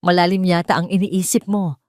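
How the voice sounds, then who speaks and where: Woman narrator as devoted male sweetheart, gentle, sincere, supportive, low-set voice, dry recording. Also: level tone, fairly quick, dry recording, close to an everyday voice. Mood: tender, sincere.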